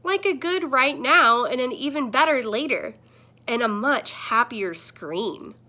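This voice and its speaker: A woman's voice: high-pitched, expressive, measured pace, sharp tone, full character voice. A woman voicing a talking computer screen in a children's picture book, a protective mentor who admonishes and instructs emphatically. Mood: encouraging.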